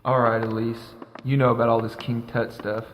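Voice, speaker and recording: An actor playing a weary, skeptical man in an audio drama, gruff and doubting. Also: medium pitch, level tone, normal speed, a little hiss or hum, lightly acted. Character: weary, skeptical man among the trapped heirs. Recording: reverberant space, faint music.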